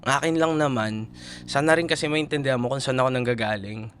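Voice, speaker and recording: Male actor as young Filipino boyfriend for audio drama: medium pitch, casual Taglish, coaxing, caring, easily exasperated. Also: fairly quick, fairly bright tone, slight background noise, lightly acted. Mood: frustrated, hurt. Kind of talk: boyfriend roleplay audio, intimate conversational acting.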